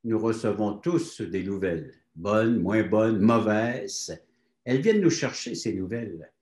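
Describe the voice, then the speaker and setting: A man's voice: lowish voice, animated, unhurried, a little mellow. A man with a Quebec French accent hosting an interview show as its presenter. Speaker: host of a testimony show. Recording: roomy echo.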